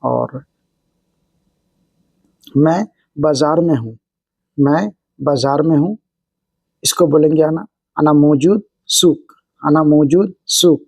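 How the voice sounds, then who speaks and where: Male language tutor, soft mellow tone, slightly high register, explains and repeats examples step by step. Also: takes it slowly, vocal fry, long pauses, no room sound. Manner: repetitive, explanatory, phrase-by-phrase delivery. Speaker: language teacher and video host. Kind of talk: instructional lesson with repeated example phrases.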